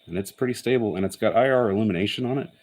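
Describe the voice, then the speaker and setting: A man's voice: fairly low pitch, rapid-fire, a little mellow. A man giving a casual, unscripted show-and-tell of electronics, explaining technical details in a matter-of-fact way. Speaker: hobbyist presenter of an electronics find.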